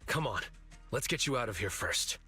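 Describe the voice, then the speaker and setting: A man's voice: mid-range voice, expressive, moderate pace, bright, forceful tone, breathy edge, soft background music, full character voice. A man voicing a serious, watchful young fighter in a video game, speaking in an earnest, no-nonsense way. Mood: serious, urging. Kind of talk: English video game voice acting.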